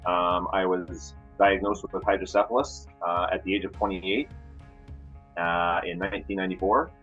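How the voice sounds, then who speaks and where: A man from Ontario with a low voice introduces himself hesitantly, pausing on fillers. The recording sounds a bit dull and muffled, with music faintly behind. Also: flat delivery, fairly bright tone, husky. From Ontario, Canada. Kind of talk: casual self-introduction.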